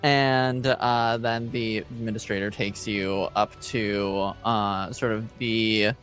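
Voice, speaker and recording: A man improvising as game master of a tabletop roleplaying game, casual and halting as he searches for words. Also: lowish voice, steady tone, a bit bright, soft background music.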